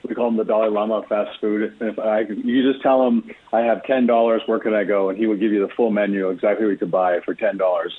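A man with a husky voice giving conversational sports analysis as a guest, wordy and often restarting his sentences. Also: fairly low pitch, steady tone, fast, hardly any pauses. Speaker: sports reporter, show guest. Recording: tinny, narrow phone-like line.

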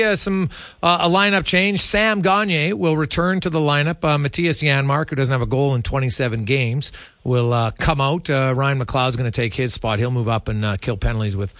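A man hosting sports radio, chatting casually in a halting, conversational way. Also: medium pitch, a bit bright, dry recording.

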